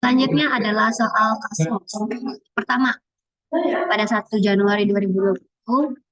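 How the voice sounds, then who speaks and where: A woman's voice: mid-range voice, steady tone, normal speed, a bit bright, no echo. A young woman, a student explaining answers informally in a class presentation. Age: young adult. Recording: dull, lo-fi audio.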